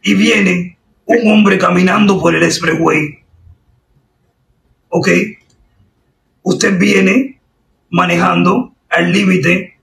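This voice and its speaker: Casting: Cuban woman, gravelly, straight-faced prank caller acting official, recorded in a roomy space. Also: fairly low pitch, extremely slow, long pauses. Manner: deadpan, official-sounding. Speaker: prank caller posing as driving examiner. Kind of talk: prank phone call, improvised quizzing. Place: indoors at a desk. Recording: roomy, distant sound with faint noise.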